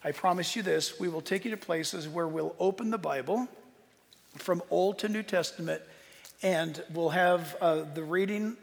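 Guy preaching informally in a big echoey hall, kinda high voice, earnest, pauses for effect. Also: creaky. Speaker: Bible teacher and tour leader. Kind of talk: informal preaching talk to an audience. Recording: long hall reverb.

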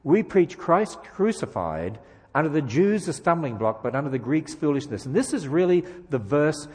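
A man's voice: a little high, very animated, normal speed, darkish tone, slightly rough, in a large hall. A man from Australia with an Australian accent, a guest speaker giving a sermon with jokes and emphatic rhetorical questions in a church. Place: church sanctuary.

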